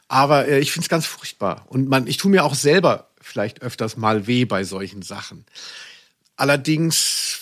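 A man in his forties hosting a podcast, chatting casually in a rambling, humorous and self-deprecating way. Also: medium pitch. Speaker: podcast host. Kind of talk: casual humorous anecdotal chat.

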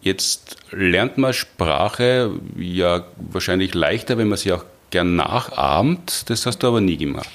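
A man's voice: low-pitched, expressive, a little slow, hardly any pauses. A man hosting a podcast, asking long, curious questions. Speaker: podcast host. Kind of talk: conversational interview.

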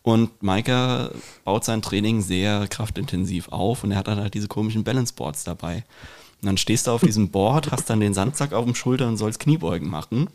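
A man's voice: on the low side, moderate pace, darkish tone. A man hosting a podcast, chatting casually and often restarting his phrases. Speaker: podcast host. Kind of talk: casual chat.

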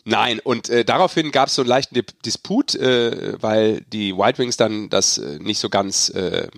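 A man's voice: fairly low pitch, animated, unhurried, gravelly, no echo, clean studio sound. A man hosting a sports podcast, talking casually but earnestly and giving his opinions. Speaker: podcast host and sports reporter.

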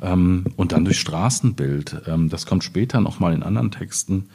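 Middle-aged male poet, very low husky voice, reflective and halting in literary discussion. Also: mellow. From Ruhr area, Germany. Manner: thoughtful, halting, searching for words while speaking. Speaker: poet discussing his work. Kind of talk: reflective literary panel talk.

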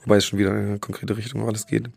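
A man who is sick and hoarse, co-hosting a podcast in casual chat, often halting to search for words. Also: fairly low pitch, flat delivery, darkish tone, no echo. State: hoarse from a cold. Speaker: podcast co-host.

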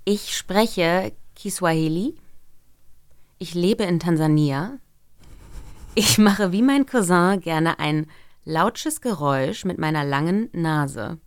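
A woman guest on a podcast quiz, playful and quick to laugh as she reads clues aloud. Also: lowish voice, lively intonation, smooth, dry recording, studio quality. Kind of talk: casual chat, reading quiz clues.

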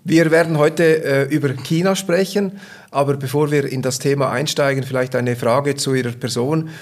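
A man's voice: mid-range voice, steady tone, moderate pace. Formal man hosting a finance podcast. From Switzerland. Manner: polite, formal, occasional fillers. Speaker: podcast host, bank investment officer.

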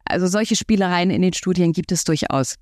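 A woman's voice: on the low side, a little fast, darkish tone, no room sound, clean studio sound. A woman speaking as an expert podcast guest, explaining her points conversationally with everyday examples.

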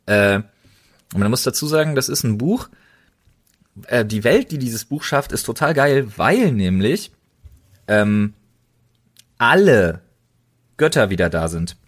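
A man's voice: mid-range voice, big pitch swings, measured pace, long pauses. A man hosting a casual podcast, recommending things in an enthusiastic, colloquial way. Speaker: podcast host.

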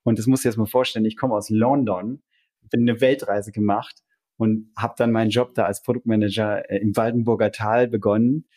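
A man speaks as a podcast guest, in a voice pitched a little low. He tells his own story in a conversational, enthusiastic way, like an entrepreneur looking back on his career. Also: fairly even intonation, fairly quick, mellow. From Germany.

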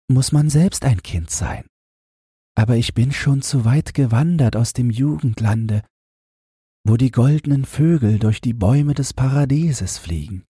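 A gentle, wistful mother queen from a storybook, acted out by a male reader. The voice is lifted high and very breathy, with a soft, dark tone. It sounds tender and sorrowful, close and dry. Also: no echo.

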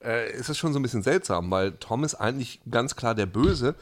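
A man's voice: mid-range voice, animated, a little fast. A man co-hosting a casual podcast chat, rambling with lots of fillers and restarts, and laughing easily. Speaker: podcast co-host.

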